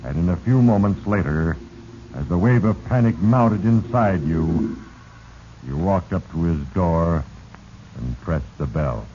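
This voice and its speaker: Noisy old radio, sinister all-knowing narrator guy, very slow, dark mellow voice, heavy and ominous. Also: sits low, animated. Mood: ominous, solemn. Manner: heavy-handed, ominous, deliberate. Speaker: host and narrator. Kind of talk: 1940s radio melodrama narration. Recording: noisy, dull 1940s radio recording.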